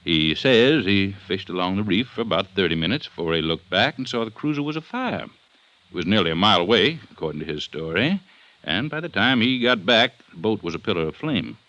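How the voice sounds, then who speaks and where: A man playing an assertive district attorney in an old-time radio detective drama, speaking with deliberate emphasis. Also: low-pitched, very animated, sharp tone, no echo, highs cut off, heavily acted. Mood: matter-of-fact. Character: assertive Southern district attorney building a murder case. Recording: vintage radio drama recording.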